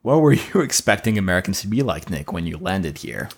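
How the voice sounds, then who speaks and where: A young man from France co-hosting a casual podcast chat, relaxed and joking, laughing often. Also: low voice, fairly quick, creaky. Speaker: podcast co-host.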